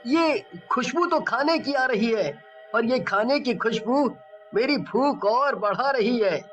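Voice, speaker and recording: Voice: medium pitch, animated, slow pace, a bit bright, faint music behind. An actor voicing a nervous young male traveller in a cartoon, stammering when scared. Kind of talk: cartoon voice acting.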